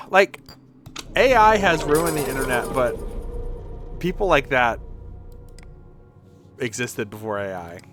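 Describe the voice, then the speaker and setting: A man's voice: mid-range voice, lively intonation, slow pace, long pauses. A man streaming video games, chatting casually in a rambling way with some swearing. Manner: rambling, profane. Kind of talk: casual livestream chat over gameplay.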